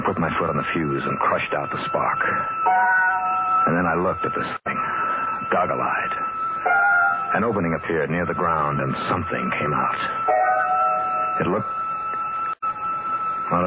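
An actor playing an excitable, plain-spoken mechanic in his thirties, narrating a 1960s radio drama in clipped, colloquial, urgent speech. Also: low voice, full character voice. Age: 30s. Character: excitable thirty-four-year-old garage mechanic, insistent, plain-spoken storyteller. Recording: dull old radio broadcast recording, loud music.

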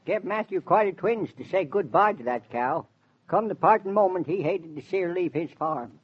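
An actor playing a folksy, secretive old country man with a husky voice and a rural American accent, in a 1940s radio drama style. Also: sits high, lively intonation, moderate pace. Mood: folksy, gentle. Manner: folksy, repeats himself. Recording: dull 1940s radio transcription.

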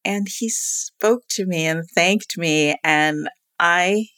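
A middle-aged woman telling a personal story in a casual chat, animated and quick to laugh, with a loud voice. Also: lowish voice, no echo, clean studio sound. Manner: calls herself loud-voiced.

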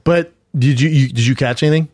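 A man's voice: mid-range voice, a little fast, a little mellow, no room sound, studio quality. A man hosting a podcast, teasing his co-hosts with crude, brash banter in casual chat. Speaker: podcast host. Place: Los Angeles area studio.

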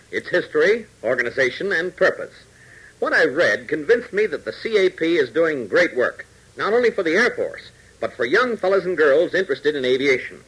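Animated, high-pitched American radio announcer man. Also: husky, low-quality recording. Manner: emphatic, dramatic. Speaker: announcer and narrator. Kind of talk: 1940s radio serial announcing, scripted narration.

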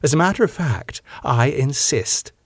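A male narrator plays a firm, composed woman in charge in an audiobook. She is polite yet insistent, with an expressive pitch. The recording is dry and close. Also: unhurried, no room sound. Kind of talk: audiobook character dialogue.

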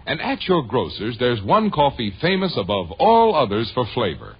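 A man with a husky voice, delivering a 1950s radio advertisement as announcer. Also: mid-range voice, wildly swooping pitch, moderate pace. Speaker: commercial announcer. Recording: dull old radio broadcast, music behind.

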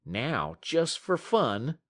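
A man voicing a blunt, rough frontier dog musher who talks in a folksy drawl and drops his g's. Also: mid-range voice, very animated, drawn-out words, a bit bright, voice pushed up, exaggerated voice. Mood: astonished.

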